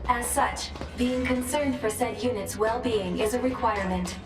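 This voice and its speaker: A female support robot from a sci-fi game, acted by a woman. The voice is fairly high, formal and clinical, delivering measured reports without emotion. Clear music plays behind the echoey sound. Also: close to an everyday voice. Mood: clinical, flat. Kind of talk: scripted game status reporting.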